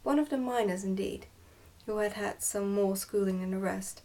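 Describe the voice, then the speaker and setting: A woman reading a children's story aloud in ASMR style, soft-spoken and sometimes near a whisper. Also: mid-range voice, moderate pace, distant mic, rough recording. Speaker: ASMR book reader.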